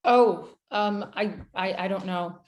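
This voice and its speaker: A woman's voice: mid-range voice, slow pace, a little hiss or hum, lightly acted. A woman playing a guarded, defensive character under pressure in a screenplay table read. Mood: distracted, hesitant.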